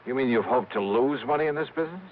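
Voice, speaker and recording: An actor playing a wry, skeptical American insurance investigator in clipped 1950s noir radio style. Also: male voice, medium pitch, lively intonation, quick pace, slight background noise, natural voice. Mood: probing. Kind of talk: 1950s noir radio acting and first-person narration. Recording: dull old radio recording, music behind.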